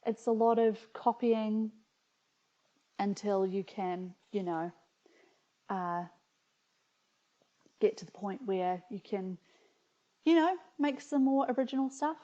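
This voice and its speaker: A woman's voice: medium pitch, slow, long pauses, warm tone. A woman with a New Zealand accent chatting casually and reading aloud as a video host, in a hesitant, reflective, amused way, on slightly muffled audio. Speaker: video host reading her childhood stories.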